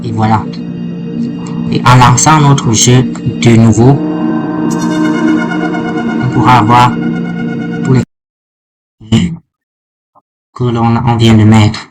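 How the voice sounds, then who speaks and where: A man giving an unscripted step-by-step software tutorial, halting and often repeating his words. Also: medium pitch, level tone. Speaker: programming tutorial presenter. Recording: loud music behind the voice. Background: music.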